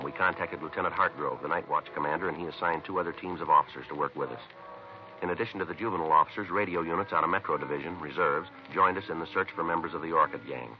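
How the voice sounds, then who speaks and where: A man playing a methodical American police detective in a 1950s radio crime drama. His very low voice moves quickly through times and facts, flat in feeling and terse. The old recording is dull, with faint music behind it. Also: close to an everyday voice. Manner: clipped, matter-of-fact, deadpan. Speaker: narrator and lead detective.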